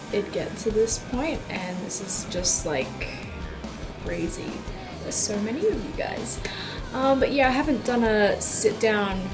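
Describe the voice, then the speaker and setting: A woman in her twenties chatting casually in a video, answering viewer questions with frequent likes and ums. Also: medium pitch. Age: 20s. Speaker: YouTube musician. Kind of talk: casual Q and A vlog.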